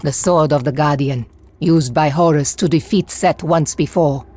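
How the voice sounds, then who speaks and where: An actress playing a proud, resolute warrior leader in a video game, speaking with solemn command. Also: female voice, low-pitched, normal speed, darkish tone. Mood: solemn, explanatory. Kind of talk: video game cutscene acting.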